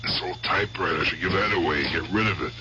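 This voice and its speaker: Man acting gruff, halting old gangster, deep voice. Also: lightly acted. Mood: muttering, agitated. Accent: American. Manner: rough colloquial speech, halting, muttering to himself. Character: aging guilt-tormented gangster, gruff but fond uncle. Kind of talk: radio drama acting. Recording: dull, noisy old radio drama recording.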